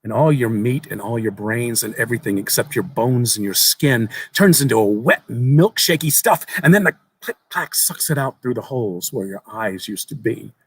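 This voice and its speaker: A man voicing a precocious, solemn little boy who explains things slowly and deliberately in a dramatic horror story reading. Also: medium pitch, animated, breathy edge. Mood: eerie, calm.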